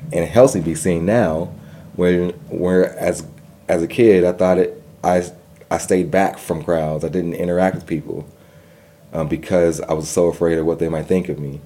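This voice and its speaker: A man's voice: sits low, warm tone, a little hiss or hum. A young man telling his personal story in an interview, earnest, with a stutter and repeated words. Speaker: guest.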